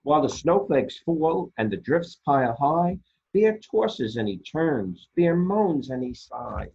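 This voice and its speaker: A man reading children's picture books aloud as a storytime host, expressively and deliberately, with dramatic pauses and a husky voice. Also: fairly high pitch, big pitch swings, a little mellow, lo-fi sound. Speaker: storytime reader for a library.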